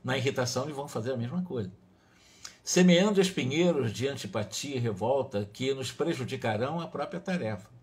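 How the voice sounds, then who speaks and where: A man's voice: mid-range voice, lively intonation, brisk, darkish tone. A man with a Brazilian accent giving a religious study talk, reading passages and explaining them in a didactic, emphatic way with frequent rephrasing. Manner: didactic, emphatic, often repeats and rephrases with check tags. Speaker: spiritist lecturer.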